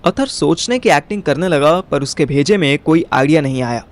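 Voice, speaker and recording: Narrator-lead for audio drama: young man, slightly high pitch, first-person, casual and slangy Hinglish delivery. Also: animated, fairly quick.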